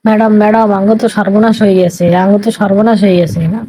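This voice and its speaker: Woman playing an anxious, fast-talking, mellow-voiced shop assistant. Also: mid-range voice, steady tone, a little hiss or hum, lightly acted. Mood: alarmed, pleading. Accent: regional Bangladeshi Bengali dialect. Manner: deferential, hesitant, pleading. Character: anxious, pleading shop employee. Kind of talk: comic cartoon dialogue.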